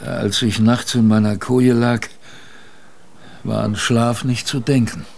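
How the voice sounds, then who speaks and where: An actor playing a young ship's crewman who recounts a polar expedition in measured, literary radio drama narration. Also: mid-range voice, little pitch movement. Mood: tense recounting. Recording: clear background noise, ambience.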